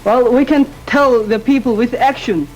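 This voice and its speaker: A man's voice: extremely high pitch, moderate pace, a little hiss or hum, low-quality recording. A teenage boy, a student debater in a panel debate who speaks hesitantly, with many fillers and restarts. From Korea. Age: teens.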